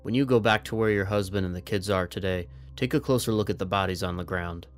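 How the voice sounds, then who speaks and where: A man playing a calm, practical survivor trapped in frozen time in an audio drama, speaking in a measured, instructive way. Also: low-pitched, soft background music, close to an everyday voice. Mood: practical, focused.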